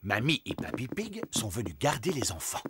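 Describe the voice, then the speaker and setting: An actor narrating a children's cartoon like a cheerful storybook teller. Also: male voice, medium pitch, huge pitch swings, normal speed, noisy background. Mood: storytelling.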